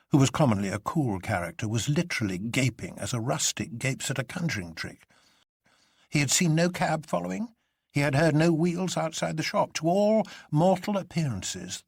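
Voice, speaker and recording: Male narrator, for classic fiction audiobook. Highly varied intonation, husky edge, measured dramatic delivery. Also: no room sound, studio quality. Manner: expressive, measured storytelling. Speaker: narrator reading a novel.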